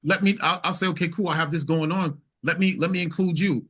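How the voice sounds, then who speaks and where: Bright-voiced man, emphatic interview guest. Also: fairly high pitch, steady tone, fast. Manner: emphatic, repeats phrases as he builds points. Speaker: interview guest, singer-songwriter. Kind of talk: casual interview conversation.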